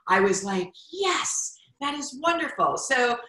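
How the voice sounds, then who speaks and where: Middle-aged woman podcast host, effusive, bright tone, roomy echo. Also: high voice, very animated, a little slow. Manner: effusive, warm praise with laughs. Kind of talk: casual interview chat. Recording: echoey room.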